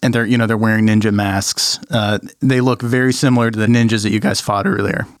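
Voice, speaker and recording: A man's voice: lowish voice, steady tone, a little fast, dry recording, clean studio sound. A young man narrating a tabletop game as game master, casual and loose with fillers.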